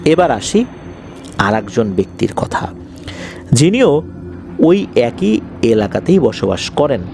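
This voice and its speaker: A man narrating a ghost story as host, in a conversational, dramatic and deliberate way that repeats phrases for suspense. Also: mid-range voice, very animated, darkish tone, faint music behind.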